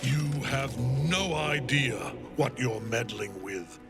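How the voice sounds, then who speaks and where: Man acting a slow, menacing fanatical villain general. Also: medium pitch, loud music behind the voice, lightly acted. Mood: imperious, threatening. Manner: grandiose, slow, commanding, theatrical. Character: brooding, fanatical villain general, grief-worn, imperious and menacing. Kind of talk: dramatic video game villain acting.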